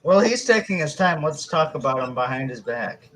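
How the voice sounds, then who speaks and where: A man's voice: fairly high pitch, expressive, moderate pace, a bit bright, a little hiss or hum, poor audio. A man trading casual, teasing banter with a group of friends.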